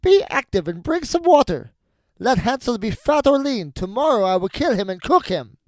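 A man voicing a very old, sly and bossy wicked witch for a storybook, with slow, drawn-out words. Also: very high voice, very animated, no room sound, voice pushed up. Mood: impatient, menacing. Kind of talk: storybook fairy tale character voice.

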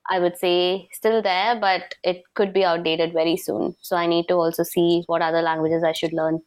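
Young woman from south india, slightly low voice, chatty guest answering questions, lots of ums. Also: little pitch movement, darkish tone, smooth, low-quality recording. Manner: earnest, quick explanations with many fillers. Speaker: interview guest. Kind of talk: casual interview chat.

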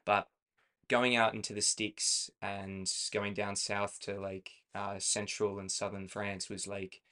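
A man's voice: lowish voice, level tone, slow, sharp tone. A young Australian man co-hosting a podcast, talking in a rambling, self-correcting way and laughing easily. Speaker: podcast co-host. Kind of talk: casual chat.

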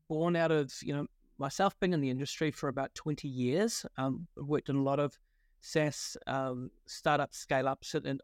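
Man, New Zealand accent, forties, fairly high pitch, halting with lots of fillers, dry close sound. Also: dry recording. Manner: hesitant, many fillers and restarts. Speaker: guest, partnership consultant. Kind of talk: podcast interview.

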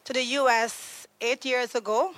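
A woman from Trinidad and Tobago, a podcast guest explaining trauma in an earnest, conversational way, pausing to search for words. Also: a little high, steady tone, a bit bright, dry recording. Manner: earnest, searching for words, frequent ums and restarts.

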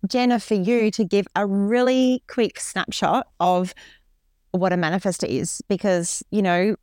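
A woman hosting a podcast, gently guiding the conversation and encouraging her guest. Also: medium pitch, steady tone, unhurried, clean tone, no room sound, clean studio sound. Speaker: podcast host. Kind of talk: casual interview conversation.

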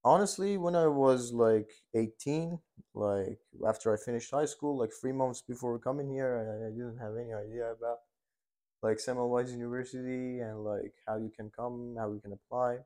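A man's voice: medium pitch, normal speed, dark tone. A young man in his twenties from Iran, a dental student answering interview questions in a hesitant way, with many fillers and restarts. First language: Persian. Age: 20s.

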